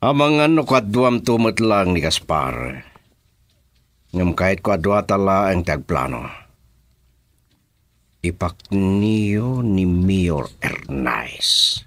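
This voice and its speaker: A man with an Ilocano accent playing a menacing, quick-tempered crime boss in a radio drama, speaking in a commanding, threatening way. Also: low-pitched, expressive, a bit bright, full character voice. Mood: scheming.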